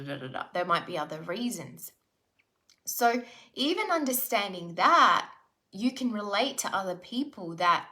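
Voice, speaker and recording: A woman's voice: mid-range voice, bright, forceful tone. A middle-aged woman leading a live online self-development workshop, talking conversationally and checking in with rhetorical questions. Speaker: spiritual life coach.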